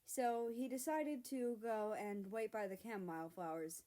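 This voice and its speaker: A woman's voice: a little high, normal speed, dark tone. Woman reading a story aloud. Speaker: narrator reading own fan fiction aloud.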